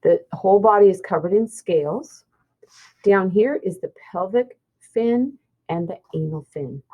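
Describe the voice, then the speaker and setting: A woman's voice: medium pitch, measured pace, warm tone, poor audio. A woman teacher reading a children's science book aloud, explaining and pointing things out simply.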